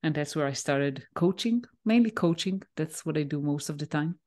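A woman in her forties from Israel, a native Hebrew speaker, answering interview questions on a video call with a headset, pausing often with fillers. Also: sits low, lively intonation, darkish tone. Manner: thoughtful, pauses with many "uh" fillers. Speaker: guest, leadership coach. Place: home room, indoors.

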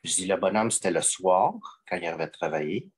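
A man's voice: sits low, a little fast, breathy edge. A man, calling in and talking in hesitant, rambling stretches full of fillers. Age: late 40s. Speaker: caller. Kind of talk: call-in conversation.

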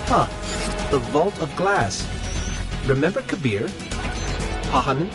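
An actor voicing a small, helpful robot companion in a video game, curious, earnest and conversational. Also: male voice, fairly high pitch, animated, measured pace, over loud music. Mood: alert, curious. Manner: conversational, inquisitive.

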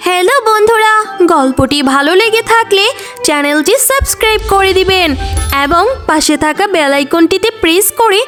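A woman narrates a scripted children's cartoon tale. Her voice is very high, and faint music plays behind her. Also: expressive, moderate pace, a bit bright.

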